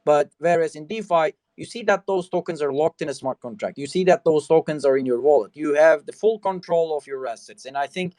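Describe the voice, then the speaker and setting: A man's voice: fairly high pitch, brisk, few pauses, darkish tone, dry recording. Dry-sounding man hosting a chat. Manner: conversational, many you know fillers. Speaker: host. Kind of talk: casual talk show conversation.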